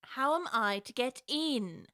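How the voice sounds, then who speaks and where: A well-spoken young girl, earnest, high bright lively voice, dry studio. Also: slow pace, dry recording, full character voice. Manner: earnest, timid yet indignant, well-spoken. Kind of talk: audiobook drama acting.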